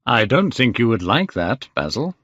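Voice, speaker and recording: A man's voice: medium pitch, big pitch swings, moderate pace, a bit bright, slightly rough, no echo, lo-fi sound. A man voicing a suave, amused, self-assured lord in a cool, languid storybook reading. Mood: amused, unruffled.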